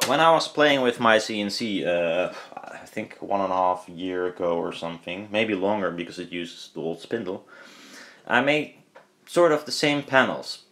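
Low-pitched man, casual hands-on explainer. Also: fairly bright tone. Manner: rambling, hesitant, thinking aloud. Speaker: hobbyist speaker builder and video maker. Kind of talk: casual unscripted demonstration and commentary.

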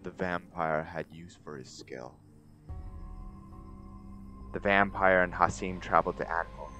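A man's voice: sits low, measured pace, dull audio. A man narrating a dramatic scripted story. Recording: music behind the voice. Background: music.

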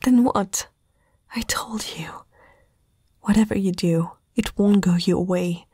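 A woman's voice: mid-range voice, dark tone, no echo, studio quality. A woman as a whispering, haughty young princess. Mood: defiant, fearful. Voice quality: breathy, whispery. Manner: haughty, formal, halting. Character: proud, frightened eighteen-year-old princess confronting a night intruder. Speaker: ASMR roleplay performer. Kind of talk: whispered ASMR roleplay acting. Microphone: close microphone, dry.

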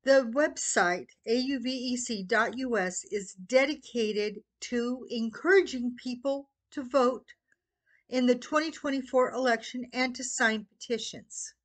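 An older American woman giving an earnest promotional talk to camera, with deliberate, halting phrasing and pauses. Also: a little high. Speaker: petitioner promoting own website. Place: small living room at home, indoors.

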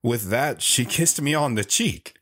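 A man's voice: mid-range voice, expressive, measured pace, sharp tone. A man playing a bashful, wistful wanderer recounting his youth in an audio drama. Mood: reflective. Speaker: storyteller. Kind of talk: first-person audio drama narration.

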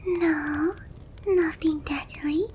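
A woman playing a lonely, sweet and softly possessive young witch girl in an ASMR roleplay, breathy and gentle. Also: high-pitched, steady tone, a little hiss or hum. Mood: soft, coaxing. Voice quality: soft, breathy, whispery. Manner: slow, gentle, coaxing.

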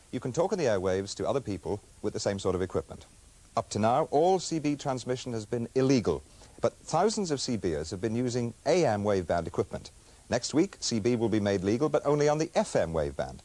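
TV presenter with lively intonation. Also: male voice, medium pitch. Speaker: television presenter. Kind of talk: scripted presenting to camera. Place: television studio.

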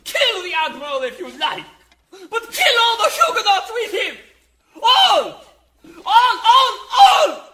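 A man's voice: extremely high pitch, huge pitch swings, slow pace, sharp tone, heavily acted. An actor playing a timid, stammering young French king who is easily driven to hysteria in an educational audio drama. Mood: hysterical rage. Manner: stammering, hesitant.

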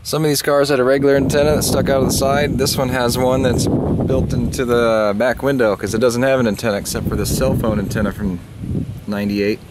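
A man's voice: medium pitch, steady tone, a little fast. A man from the American South chatting casually and thinking aloud as he works on his car, offhand and a little profane, recorded on a handheld camera. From southern United States. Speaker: car vlogger. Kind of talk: casual rambling chat while working on a car. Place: outdoors at an open car trunk. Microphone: handheld phone or camera mic. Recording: noisy handheld recording with clicks and clunks.

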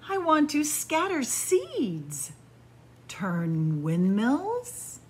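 A middle-aged woman librarian reading a picture book aloud to young children in a library, in a sing-song way with soft hushed asides. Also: high-pitched, wildly swooping pitch, extremely slow, breathy edge. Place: library with bookshelves, indoors.

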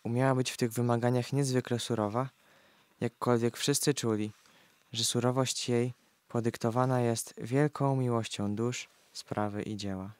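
A man reading scripted narration for an audio play in a measured, prepared way. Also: mid-range voice, steady tone, a little mellow, no echo.

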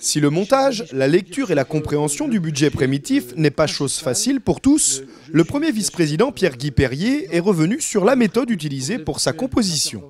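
Lively male news narrator. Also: a little high, a little fast. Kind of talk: scripted narration.

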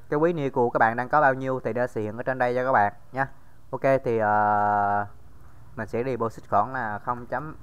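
A man's voice: medium pitch, a bit bright, clean tone, dull audio. A man giving a casual screen-recorded tutorial, talking straight to viewers with many fillers. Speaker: crypto tutorial host.

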